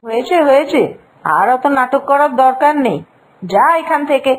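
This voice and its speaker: A woman's voice: high voice, lively intonation, moderate pace, rough recording. A woman voicing a cruel, harsh, sarcastic mother who scolds and threatens in a cartoon fairy tale. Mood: dismissive. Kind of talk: animated fairy-tale voice acting.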